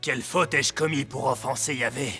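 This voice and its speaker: An actor playing a jealous, wrathful, imperious king in a French animated Bible drama, with a rough, gravelly voice. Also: medium pitch, moderate pace. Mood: brooding, anxious. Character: jealous, wrathful, brooding middle-aged king. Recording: music under the voice. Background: music.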